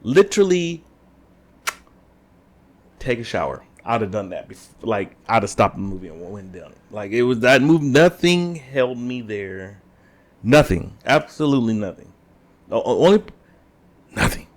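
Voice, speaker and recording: A man's voice: mid-range voice, big pitch swings, moderate pace, long pauses. A man co-hosting a casual chat, blunt and repeating himself for emphasis. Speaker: podcast co-host.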